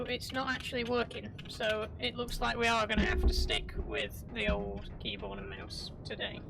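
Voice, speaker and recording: Casual woman streamer, slightly high, voice a bit crackly, chatty and self-correcting. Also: vocal fry, a bit bright. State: voice a little crackly. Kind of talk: casual live gameplay commentary. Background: game music.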